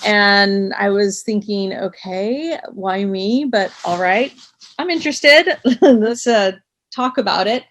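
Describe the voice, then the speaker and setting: A woman being interviewed, telling stories casually in a chatty, expressive and self-effacing way. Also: mid-range voice, slow. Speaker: interview guest, audio drama writer. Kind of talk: casual conversational storytelling.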